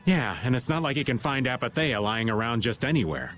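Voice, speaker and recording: An easygoing middle-aged adventurer from a video game, played by a man. He is wry, with a very animated delivery and faint noise behind. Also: medium pitch, brisk, close to an everyday voice. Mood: wry, musing. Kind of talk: English video game voice acting.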